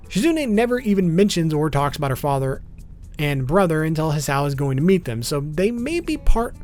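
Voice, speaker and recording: A man narrates a scripted explainer in a fairly high voice with lively, swinging intonation. He sounds informal, dropping casual asides and stumbling slightly over the odd phrase. Music plays behind his voice. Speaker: video host. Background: background music.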